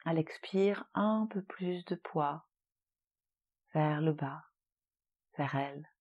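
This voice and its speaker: A woman leading a guided relaxation meditation in a soothing, gentle, unhurried manner with long pauses. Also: sits low, breathy edge, lo-fi sound. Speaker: yoga nidra relaxation guide.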